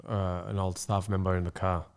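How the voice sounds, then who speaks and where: A man's voice: low-pitched, little pitch movement, a little fast, clear, resonant tone. A man hosting a podcast interview, reflective and supportive, with frequent agreeing murmurs. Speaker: podcast host.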